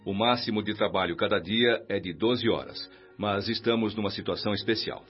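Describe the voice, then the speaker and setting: An actor playing a calm, kindly spirit mentor in a Brazilian radio drama, measured, reassuring and didactic. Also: male voice, fairly low pitch, natural voice. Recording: dull lo-fi radio recording, music behind.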